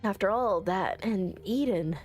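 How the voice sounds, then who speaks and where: A woman voicing an earnest, anguished visual novel protagonist in a halting, dramatic first-person reading. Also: medium pitch, faint music behind, natural voice. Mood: despairing.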